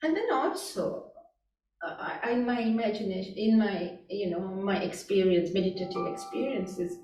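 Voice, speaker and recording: Woman from sri lanka, meditation teacher, mellow gentle voice, heard from across a very echoey room. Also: medium pitch, soft background music. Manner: gentle, unhurried, with frequent "you know" and "right?". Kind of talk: answering audience questions at a workshop. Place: community meeting room. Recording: echoey room, picked up from a distance.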